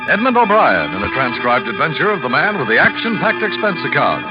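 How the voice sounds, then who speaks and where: A male radio announcer introducing a 1950s drama with smooth, formal delivery. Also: on the high side, huge pitch swings, brisk, muffled recording. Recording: old radio broadcast with theme music.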